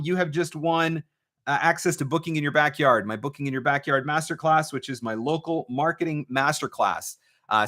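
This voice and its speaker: A man's voice: medium pitch, a bit bright. A man hosting a live question-and-answer advice talk, speaking emphatically like a coach and posing rhetorical questions. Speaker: host, voice-over marketing coach.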